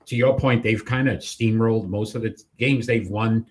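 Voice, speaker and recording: A man's voice: lowish voice, moderate pace, a little mellow. A man with a Rhode Island accent hosting a live sports talk show, chatting casually and often restarting his sentences.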